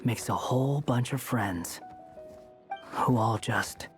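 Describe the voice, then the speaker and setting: A man's voice: mid-range voice, takes it slowly, soft background music, natural voice. An actor playing an aloof, sardonic young man in a video game, with a cool, dry, blunt delivery. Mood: dry, guarded. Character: aloof, sardonic youthful male wanderer.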